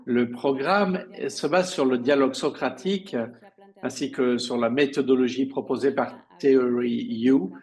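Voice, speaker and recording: A male simultaneous interpreter voices conference speeches as they happen. His pitch sits in the middle for a man. He speaks haltingly, stretching out pauses as he renders each phrase. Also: darkish tone.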